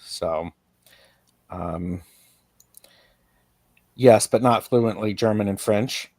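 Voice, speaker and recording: A man's voice: lowish voice, extremely slow, a little mellow, no room sound, studio quality. A middle-aged man co-hosting a casual chat, telling stories with small acted asides. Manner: chatty, storytelling with acted asides. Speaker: co-host, tarot reader.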